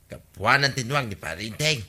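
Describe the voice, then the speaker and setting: A man's voice: mid-range voice, animated, moderate pace, pushed and bright. A man playing an aggrieved village farmer in a Filipino radio comedy drama, exclamatory and complaining, with an Ilocano accent.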